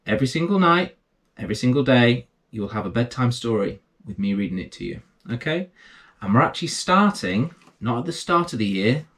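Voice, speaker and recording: A man hosting and reading children's bedtime stories in a gentle, reassuring manner. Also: fairly low pitch. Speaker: bedtime story reader and host. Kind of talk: storytelling read aloud for children.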